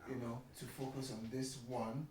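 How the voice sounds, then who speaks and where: A man's voice: mid-range voice, little pitch movement, normal speed, darkish tone. Echoey, distant Nigerian man explaining. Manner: explanatory, often checks the listener understands. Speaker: interview guest. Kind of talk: casual conversation about marriage. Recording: echoey room, distant mic, faint noise.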